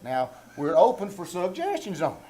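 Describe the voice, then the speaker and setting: A man's voice: high-pitched, wildly swooping pitch, normal speed, warm tone. A man playing a self-important, scheming rural old-timer with a Southern American accent, folksy and mock-formal, in a radio comedy reenactment. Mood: pompous. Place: small-town theater stage before an audience. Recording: live theater recording with background noise.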